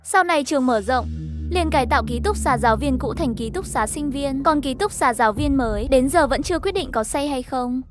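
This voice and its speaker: A woman's voice: sits high, animated, brisk, over music. A woman reading a scripted story narration, voicing the dialogue lines herself as the narrator. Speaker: narrator of an animated horror story recap.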